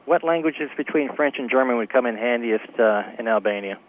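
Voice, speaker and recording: A man's voice: mid-range voice, fairly quick, slight background noise. A man calling in to a radio show, asking questions hesitantly. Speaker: caller. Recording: tinny phone line.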